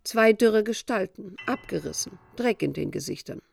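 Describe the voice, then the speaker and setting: A woman playing an observant storyteller in a scripted radio play, with a creaky voice. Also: mid-range voice, expressive, moderate pace, darkish tone. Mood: observing. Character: observant storyteller describing two ragged figures.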